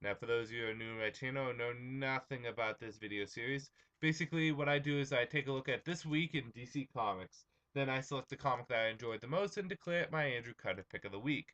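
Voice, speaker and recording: A young man hosts his own comic review vlog in a bright, forward voice that has gone slightly hoarse. He chats casually and loosely, filling pauses with ums and uhs. The sound is a little dull, like a lo-fi home recording. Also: medium pitch. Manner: chatty, many ums and uhs, restarts.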